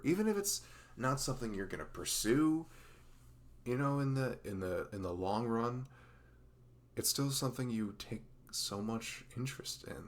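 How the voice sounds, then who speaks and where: A man's voice: lowish voice, vocal fry, fairly bright tone, heavily acted. A man playing a dorky, nervous young best friend in a conversational ASMR roleplay, rambling and stammering with self-deprecating humor. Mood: earnest, admiring.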